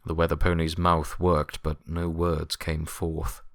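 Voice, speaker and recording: A man with a low voice narrates fiction in a scripted, dramatic reading. The sound is dry and close, with no room around it. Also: monotone, unhurried, no echo.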